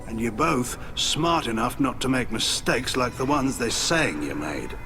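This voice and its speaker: An actor playing a blunt, wry, middle-aged alien admiral and hawkish war veteran in a video game. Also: male voice, mid-range voice, animated, measured pace. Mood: confiding, wry. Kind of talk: video game dialogue acting. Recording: loud music behind the voice. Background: music.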